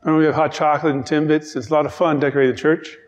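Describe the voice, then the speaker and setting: A man's voice: on the high side, flat delivery, in a large hall. A middle-aged man with a Newfoundland accent, a minister giving an informal, joking talk from the pulpit of a church sanctuary. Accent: Newfoundland English. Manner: chatty, joking, quick asides. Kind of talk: informal children's-time sermon.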